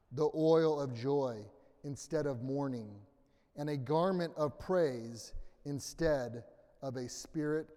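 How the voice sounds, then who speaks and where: A middle-aged American man giving a conversational sermon with personal anecdotes and an informal, self-deprecating manner. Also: darkish tone. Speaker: preacher. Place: large indoor church room, amplified. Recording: boomy echoing hall, long reverb.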